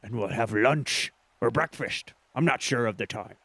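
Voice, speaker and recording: A man's voice: medium pitch, normal speed, fairly bright tone, husky, no room sound. A man playing a tabletop game, joking and making stubborn quips. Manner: playful, jokey, stubborn quips. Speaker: player.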